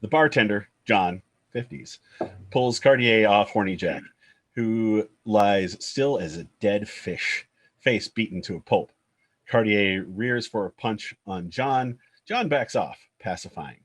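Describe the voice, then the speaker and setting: A man's voice: on the low side, expressive, takes it slowly. A man giving scripted narration, reading out the scene directions of a screenplay at a table read.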